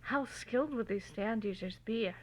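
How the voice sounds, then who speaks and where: A woman's voice: fairly high pitch, moderate pace. A woman giving an informal dramatic reading of a story, stumbling over words now and then, recorded on a phone. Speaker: amateur reader of fan fiction.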